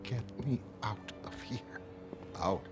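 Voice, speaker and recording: A man voicing a distraught, frightened young woman superhero with a halting, upset delivery in a motion comic. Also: lowish voice, over loud music. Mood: desperate, pleading. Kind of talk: dramatic motion comic narration.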